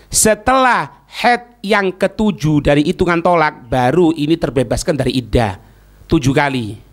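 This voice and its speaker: A man's voice: high voice, a bit bright. A man with a Javanese accent giving an informal Islamic lecture, explaining in colloquial, didactic style and often checking that listeners follow. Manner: colloquial, acting out short example quotes. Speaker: Islamic preacher teaching religious law.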